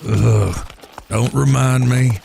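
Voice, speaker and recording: An actor playing a foul-mouthed, irritable eldest outlaw brother in a western audio drama, gruff and exasperated with a raspy voice. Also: male voice, mid-range voice, fairly even intonation, slow, mellow, a little hiss or hum. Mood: weary, irritated.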